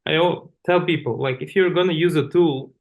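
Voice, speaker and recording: Male podcast host with a creaky voice, loose rambling questioner. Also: on the high side, moderate pace, narrow, tinny audio. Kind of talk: podcast interview.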